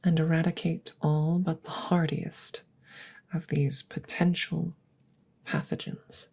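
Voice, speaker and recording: Woman playing a low, husky, clinical alien scientist. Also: flat delivery, a little mellow, exaggerated voice. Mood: clinical, matter-of-fact. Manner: formal, measured, deliberate, with breathy whispered phrases. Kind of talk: ASMR audio roleplay.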